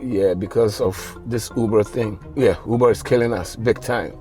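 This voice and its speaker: Middle-aged Ghanaian-born cabbie, laid-back. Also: male voice, lowish voice, moderate pace, soft background music. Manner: relaxed storytelling with slang. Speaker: taxi driver. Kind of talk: casual interview in a cab. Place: inside a taxi.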